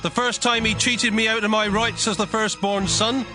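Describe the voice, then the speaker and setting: An actor playing a hot-tempered, wronged firstborn son, emotional and pleading, in a dramatized scripture story. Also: male voice, sits high, little pitch movement, normal speed, music in the background, lightly acted. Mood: anguished pleading. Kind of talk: dramatized scripture reading with music.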